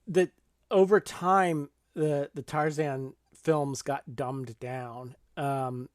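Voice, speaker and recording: A man with a fairly high voice talks through films as a podcast co-host. His delivery is halting and explanatory, and the sound is close and dry, with little room around it. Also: fairly even intonation, no room sound. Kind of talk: casual film discussion.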